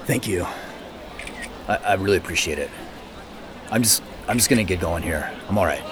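A man's voice: low voice, lively intonation, normal speed, background noise. An actor playing a harried, self-conscious man in an audio drama, speaking in a wry, confiding way. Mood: flustered. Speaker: narrator and lead actor. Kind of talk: first-person audio drama narration and acting. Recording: produced audio drama with music, street ambience.

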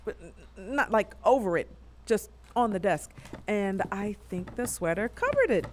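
A woman's voice: mid-range voice, animated, moderate pace, a little hiss or hum, close to an everyday voice. A woman playing a defensive, sardonic young working woman in a naturalistic audio drama, speaking in a casual, rambling way. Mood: flustered, accusing.

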